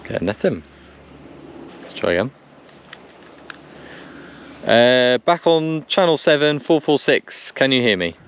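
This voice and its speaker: A man talks in a casual, practical way, somewhere outdoors with noise behind him. His voice sits at a medium pitch. He thinks aloud, repeats phrases and breaks off to make short radio calls. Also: sing-song, takes it slowly, long pauses. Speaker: vlogger testing two-way radios. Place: outdoors on a country lane. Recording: noisy outdoor recording with vehicle sounds.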